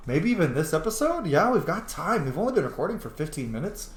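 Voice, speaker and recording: A man giving casual, chatty let's play commentary as a gaming host, swearing freely and getting excitable. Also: on the high side, quick pace, echoey room.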